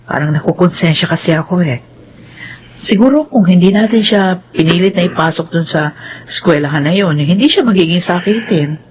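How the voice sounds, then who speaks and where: A woman's voice: on the low side, moderate pace, highs cut off, a little hiss or hum, natural voice. A woman playing an anxious yet strict middle-aged mother in a Filipino radio drama, with a Filipino accent. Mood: worried.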